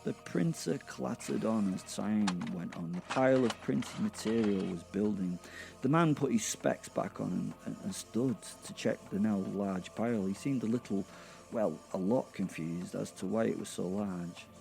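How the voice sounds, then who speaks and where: A man's voice: on the low side, normal speed, gravelly, warm tone, soft background music. A man narrating a comic horror audio drama in the first person, with a wry, conversational manner.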